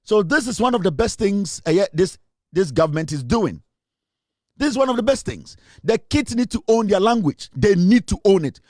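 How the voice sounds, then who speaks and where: A man with a Ghanaian English accent delivers an opinionated commentary in a fairly high voice. He talks emphatically and drives each point home by repeating it. The sound is close and dry, with little room around it. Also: very animated, normal speed, darkish tone, dry recording. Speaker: online commentator.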